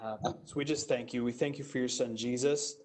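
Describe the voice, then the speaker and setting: A pastor, a man, leading a spoken invocation at a council meeting, earnest and steady with some fillers. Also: medium pitch, fairly quick. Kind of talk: spoken prayer.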